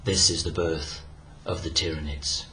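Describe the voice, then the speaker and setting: A man narrating a scripted science fiction story in a solemn, measured, dramatic storytelling manner. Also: a bass voice, fairly even intonation, heavy background noise. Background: loud music.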